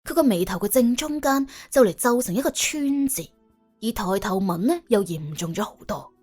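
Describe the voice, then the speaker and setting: Hk woman telling a crime story, really animated, highish voice, music underneath. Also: moderate pace, slightly rough. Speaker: audiobook narrator and host. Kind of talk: dramatised scripted narration of a comic crime story.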